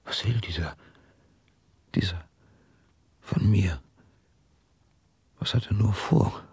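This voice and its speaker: An actor in a radio drama playing a tormented, delirious public prosecutor, muttering haltingly and obsessively in fear. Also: male voice, low voice, gravelly, darkish tone, husky, no echo, heavily acted. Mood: haunted, exhausted. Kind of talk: German radio drama acting.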